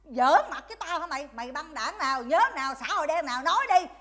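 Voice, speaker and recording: A woman's voice: high voice, animated, fast talker, a bit bright. A woman playing a brash, foul-tempered gambling mother in a comedy sketch, scolding with repeated phrases in a southern Vietnamese accent. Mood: threatening. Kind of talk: broad sketch comedy acting.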